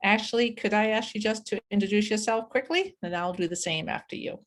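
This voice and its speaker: A woman from Denmark, hosting and presenting a webinar in a measured, welcoming way over a video call. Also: medium pitch. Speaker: webinar host, chief learning officer.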